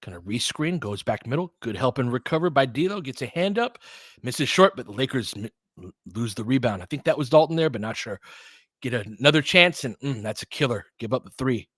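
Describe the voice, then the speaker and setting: A man's voice: medium pitch, animated, a little fast, fairly bright tone, no echo. Informal man calling basketball, close dry sound. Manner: partisan, urging on the home team. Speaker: play-by-play commentator. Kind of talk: informal live basketball commentary.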